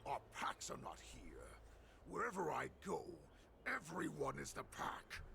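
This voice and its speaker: A man playing a proud, menacing werewolf warlord in a video game, with a breathy growl and slow, grandiose threats. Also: on the high side, huge pitch swings, bright, forceful tone, a little hiss or hum, heavily acted. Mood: commanding, ominous. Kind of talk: dramatic video game voice acting.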